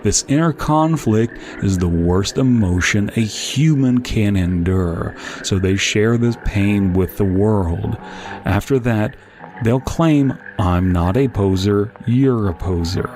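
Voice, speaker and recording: A man reading scripted comic narration in a deadpan, mock-instructional manner as a podcast narrator. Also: on the low side, slow, a little hiss or hum.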